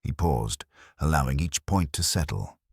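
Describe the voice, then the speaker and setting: Male audiobook narrator, bass register, slightly husky grain, measured literary delivery, scripted mystery story. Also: expressive, no echo, clean studio sound.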